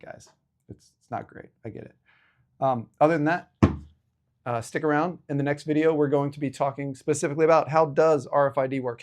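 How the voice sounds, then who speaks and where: A man's voice: medium pitch, a little mellow. A man in his forties hosting an educational video, explaining things informally to viewers with a self-deprecating streak. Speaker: host of an educational video series. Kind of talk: casual explainer talk to camera.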